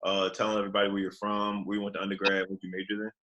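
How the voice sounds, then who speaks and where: A man's voice: on the low side, monotone, very fast, bright, forceful tone, highs cut off, slight background noise. A man hosting a casual interview over a video call, laid-back and using friendly slang. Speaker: podcast host.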